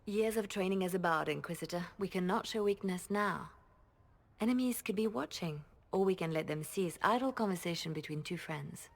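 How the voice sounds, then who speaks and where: A woman's voice: lowish voice, moderate pace. A woman playing a composed, discreet and cunning spymaster trained as a bard in a video game. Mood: composed, calculating.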